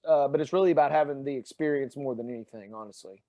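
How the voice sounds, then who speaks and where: A man from Tennessee with a Southern accent, chatting as a guest and telling animated stories with lots of fillers. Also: medium pitch, quick pace. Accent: Southern American, Tennessee. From Tennessee, USA. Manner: animated storyteller with many fillers. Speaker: podcast guest. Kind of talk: casual chat.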